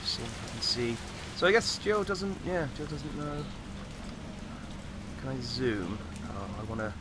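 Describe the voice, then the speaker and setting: A man with a slightly croaky voice giving casual, rambling commentary as he plays a game. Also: medium pitch, lively intonation, measured pace, background noise. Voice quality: slightly croaky, recovering from voice loss. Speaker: gamer, host of a let's play.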